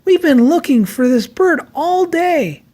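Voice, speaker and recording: A storyteller voicing an excitable, helpful female zookeeper in a children's storybook, with emphatic exclamations. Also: high voice, unhurried, voice pushed up. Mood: delighted, relieved.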